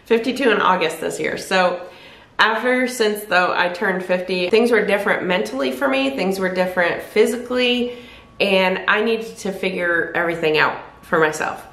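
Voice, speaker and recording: A woman's voice: mid-range voice, moderate pace, a bit bright. A woman in her fifties chatting to camera in her living room, candid and rambling, often restarting her sentences. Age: 50s. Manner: rambling, candid, self-correcting. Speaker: weight loss vlogger. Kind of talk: casual chat to camera. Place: living room by a fireplace, indoors. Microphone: camera at desk distance. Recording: echoey room.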